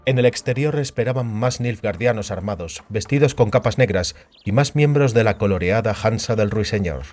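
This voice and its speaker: A man's voice: fairly low pitch, moderate pace, a little mellow. A man narrating a fantasy audiobook in a scripted, dramatic storytelling style. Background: music.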